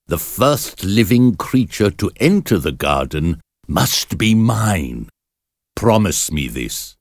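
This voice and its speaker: A commanding storybook astrologer, acted out by a man, speaks slowly and deliberately. The low voice swings dramatically up and down, sounding emphatic and sure of its magic. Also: sing-song, gravelly, dry recording, clean studio sound, full character voice. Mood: firm, demanding. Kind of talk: storybook character reading.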